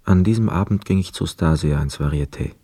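An actor narrating a literary radio play as a sober, observant storyteller with a mellow voice. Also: male voice, brisk, no echo. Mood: reflective. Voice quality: deep, mellow. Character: sober, observant male first-person narrator.

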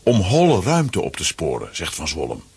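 A man narrating a children's audio story from a script, with a raspy voice. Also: low-pitched, wildly swooping pitch, normal speed, darkish tone.

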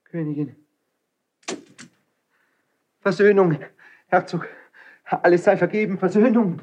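An actor playing an impetuous, proud young crown prince in a classical stage drama, with theatrical, declamatory delivery. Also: male voice, sits high, takes it slowly, a little mellow, dull audio. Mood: conciliatory, agitated. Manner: theatrical, declamatory, shifting between muted irony and outburst.

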